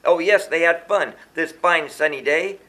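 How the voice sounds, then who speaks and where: A man's voice: a little high, measured pace, sharp tone. A man reading a rhyming children's storybook aloud in a theatrical, sing-song rhythm.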